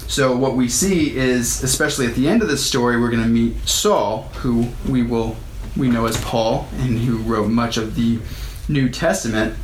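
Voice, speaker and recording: A man delivers a sermon, talking through scripture in a loose, conversational way and lifting his voice when he reads the verses. His voice sits at an ordinary middle pitch. The room is echoey, with a faint hum of ambience behind him. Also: normal speed, few pauses. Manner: conversational, reads verses aloud with rising emphasis. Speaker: pastor.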